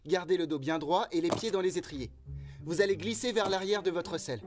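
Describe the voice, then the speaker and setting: A woman voicing a caring riding coach in a French cartoon dub, speaking in a clear, instructing way. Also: lowish voice, fairly quick, over music, close to an everyday voice.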